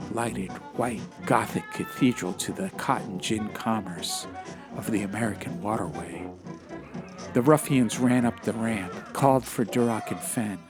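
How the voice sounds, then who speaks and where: A man narrating a fantasy audiobook in a dramatic, literary style. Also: fairly low pitch. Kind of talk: scripted fantasy story narration. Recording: music behind the voice.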